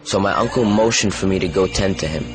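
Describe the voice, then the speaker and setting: A man's voice: deep voice, quick pace, poor audio. A man preaching in an earnest, exhortative sermon style that mixes storytelling with direct appeals. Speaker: Islamic preacher. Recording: voice over background music. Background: music.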